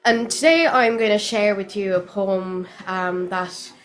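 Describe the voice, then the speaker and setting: A woman's voice: medium pitch, a little slow, poor audio. A woman with a Cork accent introducing herself at her first open mic, chatty and nervous with lots of fillers. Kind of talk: casual stage introduction.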